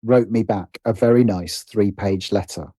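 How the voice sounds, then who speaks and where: A man's voice: lowish voice, moderate pace, mellow, husky, no room sound, studio quality. A British man telling personal stories conversationally as an interview guest, hesitant and often interrupting himself as he thinks aloud.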